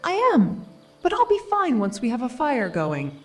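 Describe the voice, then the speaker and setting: A woman's voice: a little high, huge pitch swings, moderate pace, faint music behind. A woman playing a cheerful, eager young female adventurer in a scripted fantasy audio drama. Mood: chilled, plucky.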